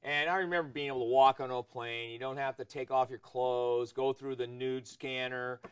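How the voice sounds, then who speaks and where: A man's voice: mid-range voice, fairly even intonation, moderate pace, clean tone. A middle-aged American man hosting a political talk show, giving his opinions in conversation.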